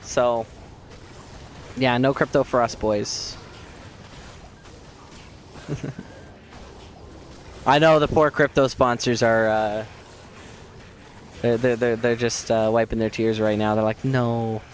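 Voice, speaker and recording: A man streaming a game who chats casually and jokes at his own expense with viewers, hoarse from losing his voice. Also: mid-range voice, steady tone, slow pace, pauses often, slight background noise. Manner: rambling, self-mocking, joking with viewers. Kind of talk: casual chat while playing.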